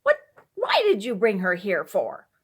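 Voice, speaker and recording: A sharp-tongued, impatient wife from a classic storybook, played by a woman reader. She scolds and demands answers with open indignation. Her temper is short and her manner is cutting. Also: medium pitch, wildly swooping pitch, unhurried, slightly rough, heavily acted. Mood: impatient, demanding. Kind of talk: storybook character voice.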